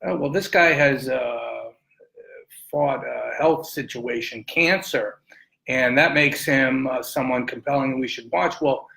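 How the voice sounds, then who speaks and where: A man's voice: medium pitch, slow, creaky, bright, forceful tone, poor audio. A man hosting a conversational sports interview, talking in a wordy, roundabout way. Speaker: podcast host interviewer.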